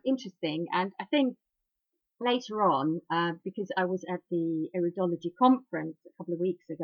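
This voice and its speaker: A woman's voice: on the low side, dry recording. A woman hosting a talk program, reading history with chatty asides and many fillers. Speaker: radio host. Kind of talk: informal talk on iridology history. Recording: dry, dull lo-fi sound.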